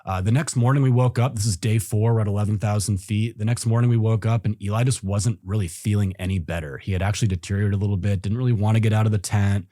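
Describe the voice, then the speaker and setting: A man's voice: on the low side, fairly even intonation, fast talker, hardly any pauses, dark tone, no echo, clean studio sound. A man telling a story as a podcast guest, easygoing and rambling, with lots of fillers and restarts. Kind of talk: casual storytelling in an interview.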